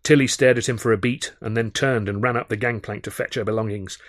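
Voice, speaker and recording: Expressive man narrating a novel, dry sound. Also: lowish voice, fast talker, dry recording. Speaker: author reading his own novel. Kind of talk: first-person audiobook narration, storytelling.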